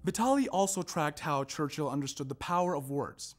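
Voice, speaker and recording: A man performing a scripted narration in a readers theater style, with emphatic, deliberate phrasing. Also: fairly high pitch, animated, faint music behind. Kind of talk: scripted narration, staged recitation.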